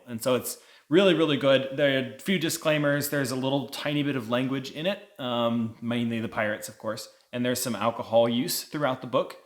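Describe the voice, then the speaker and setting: A man giving a casual, conversational book review, explaining things as a vlog co-host. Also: medium pitch.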